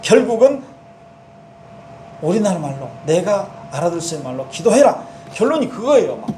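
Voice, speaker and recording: A man's voice: high-pitched, big pitch swings, moderate pace, mellow, reverberant, a little hiss or hum. A male pastor with a Jeolla accent, giving a conversational sermon and voicing sample prayers in the first person. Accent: Jeolla dialect.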